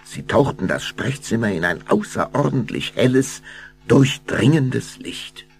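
An actor narrating a spooky children's tale with scripted, suspenseful delivery. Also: male voice, mid-range voice, very animated, a little slow, vocal fry, a little hiss or hum.

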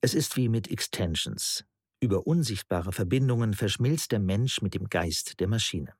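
A man's voice: fairly low pitch, lively intonation, normal speed, dry recording, studio quality. An actor playing an earnest male theorist who lectures precisely and didactically in a German radio play. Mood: earnest, didactic.

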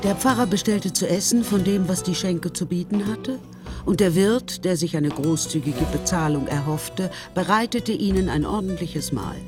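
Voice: mid-range voice, normal speed. Radio play narrator over music.

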